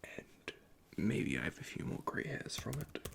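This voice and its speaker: A man playing a nervous, caring android repair technician in an ASMR roleplay, speaking in a soft, breathy half-whisper with hesitant stammers. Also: lowish voice, sing-song, measured pace, full character voice. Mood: gentle explaining.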